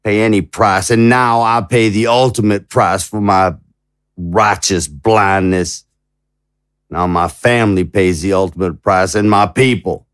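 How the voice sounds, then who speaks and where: Audio drama role: proud but naive president played by a man, lowish pitch, halting, stammering, indignant and anguished. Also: fairly even intonation, clear, resonant tone, no echo, studio quality, close to an everyday voice. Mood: anguished regret. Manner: stammering, rhetorical. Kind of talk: dramatic audio fiction acting.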